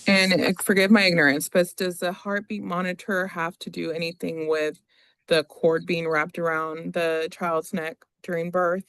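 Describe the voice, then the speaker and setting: Lawyer doing formal court questioning, kinda gravelly, halting, dry close sound. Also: mid-range voice, little pitch movement, a bit bright, no echo. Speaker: attorney questioning a witness in court.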